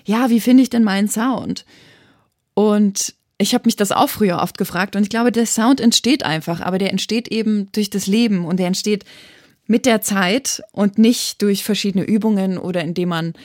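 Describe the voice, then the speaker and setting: A woman's voice: medium pitch, moderate pace, a little mellow, no echo, clean studio sound. A woman in her thirties from eastern Germany, a composer talking thoughtfully in an interview and often restarting her sentences. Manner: thoughtful, searching for words with frequent restarts. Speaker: composer and arranger guest. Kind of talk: reflective interview talk.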